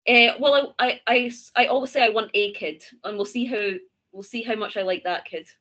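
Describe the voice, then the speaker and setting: A thirty-year-old woman with a mild Scottish accent, hosting a casual chat, talkative and quick to correct herself. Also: a little high, a bit bright, lo-fi sound. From Scottish-English border. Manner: chatty, laughs often, self-correcting. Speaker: host.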